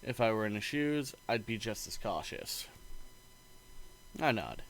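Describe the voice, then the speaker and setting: A man reading a visual novel aloud in an expressive, playful narrating style. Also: on the low side, measured pace.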